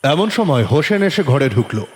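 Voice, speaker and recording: A woman's voice: extremely low pitch, very animated, normal speed. A woman narrating a detective story as a dramatic scripted audio production. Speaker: narrator, also voicing the detective. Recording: long reverb with music behind. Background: music.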